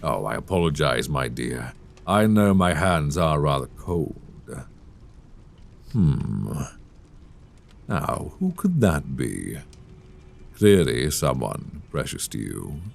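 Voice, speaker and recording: A very deep-voiced, gravelly vampire lord. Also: male voice, heavily acted. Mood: mock-gentle, teasing. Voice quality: gravelly, very low. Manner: silky, taunting, theatrical, with drawn-out menacing phrases. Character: sadistic, seductive, mocking male vampire lord. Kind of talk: intimate roleplay audio drama monologue. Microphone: close binaural microphone.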